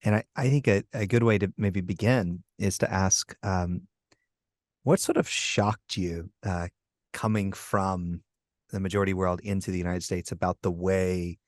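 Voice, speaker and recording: Very deep-voiced halting male host. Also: fairly even intonation, no room sound, studio quality. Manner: halting, hesitant, lots of restarts. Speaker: podcast host. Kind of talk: podcast interview.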